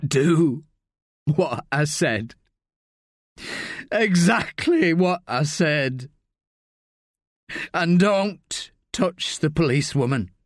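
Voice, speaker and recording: A man's voice: on the high side, lively intonation, extremely slow, long pauses, no room sound, studio quality, pitched above the performer's own voice, full character voice. A man reading an audiobook, voicing a dying man who speaks urgently and earnestly. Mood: urgent, resigned. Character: dying man, weary and resigned.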